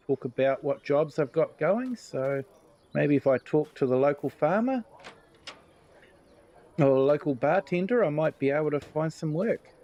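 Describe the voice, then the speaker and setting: A man's voice: fairly high pitch, warm tone, highs cut off. A man with a New Zealand accent streaming a farming game, chatting casually and reading out viewer comments. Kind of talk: casual live gameplay commentary.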